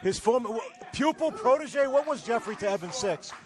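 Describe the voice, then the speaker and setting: A man fires off quick wisecracks and sardonic remarks as a color commentator at a live wrestling show. His voice sits rather high and he talks fast, with clear venue noise behind him. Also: expressive. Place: wrestling club.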